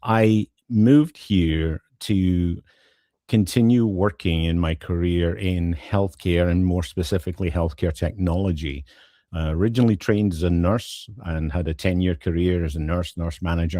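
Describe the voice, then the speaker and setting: A middle-aged or older man with a Scottish accent, talking politely and with measured pauses as an interview guest. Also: deep voice, dark tone, dry recording, clean studio sound. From Scotland. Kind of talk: conversational personal interview.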